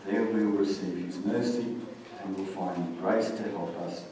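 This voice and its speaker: A man's voice: low-pitched, normal speed, a crowd in the background. Echoey, distant male preacher. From Australia. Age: middle-aged. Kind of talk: sermon with scripture readings. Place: church stage, indoors. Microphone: stand mic at lectern, heard from far camera. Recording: distant, very echoey church hall recording.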